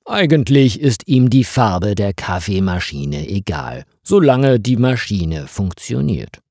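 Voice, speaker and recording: A man reads a scripted story aimed at language learners. His delivery is slow and deliberate, with highly varied intonation across short sentences. The voice has a somewhat husky edge. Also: very animated, a little mellow, no echo, studio quality. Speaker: narrator of stories for language learners.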